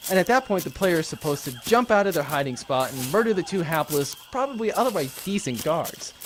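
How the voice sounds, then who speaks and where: Exasperated gamer geek, male actor: casual rambling rant, high-ish and very animated, comedy audio drama, noisy background. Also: brisk. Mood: indignant. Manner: casual, ranting, profane. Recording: clear background noise.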